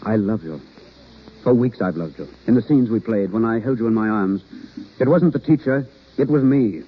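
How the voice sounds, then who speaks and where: An actor playing a self-effacing, lovesick acting teacher in a 1930s radio romance, speaking earnestly. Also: male voice, on the low side, expressive, normal speed, a little hiss or hum, lightly acted. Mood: ardent. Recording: muffled old radio recording.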